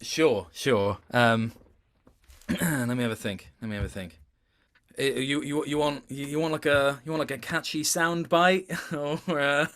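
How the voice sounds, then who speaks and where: A man's voice: medium pitch, a little slow, fairly bright tone. A man chatting casually as an interview guest, rambling and hesitant, often restarting and repeating his words. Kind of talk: casual chat.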